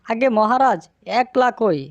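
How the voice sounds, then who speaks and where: A woman's voice: fairly high pitch, normal speed, no echo, highs cut off, lightly acted. A woman voicing a wily, fawning male fox who sells cattle in a village cartoon. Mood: fawning, evasive. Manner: fawning, sly, evasive. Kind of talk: cartoon dialogue.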